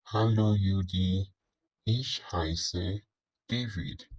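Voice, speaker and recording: A man acts as a young man introducing himself in a scripted language-lesson dialogue. The voice is low and husky, and he goes extremely slowly, one careful phrase at a time. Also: a little mellow, dry recording, muffled recording, exaggerated voice. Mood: plain, measured. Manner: very deliberate, phrase by phrase.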